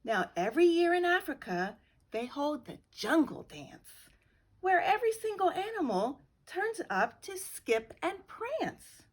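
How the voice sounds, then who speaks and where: A middle-aged woman reading a children's picture book aloud with slow, expressive storytelling. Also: very high-pitched. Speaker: guest reader.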